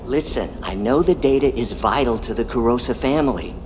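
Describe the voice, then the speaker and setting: A man's voice: medium pitch, lively intonation, a little fast, in a large hall, background noise, natural voice. An actor playing an anxious, apologetic man pleading his case in video game dialogue.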